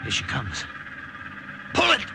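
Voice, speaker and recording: A man's voice: unhurried, noisy background, lightly acted. A man acting a resolute, protective wartime saboteur in an old-time radio drama, speaking and narrating with dramatic urgency. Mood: urgent. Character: resolute, protective male wartime saboteur telling his story. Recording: old radio recording with music behind.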